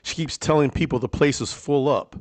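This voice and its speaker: A man plays an unflappable British gentleman in a vintage radio crime serial. His mid-pitched voice is terse, polite and understated, recorded close and dry. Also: brisk, no room sound, lightly acted. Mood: watchful. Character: unflappable, clipped English gentleman sidekick named Reggie. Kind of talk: 1940s radio drama acting.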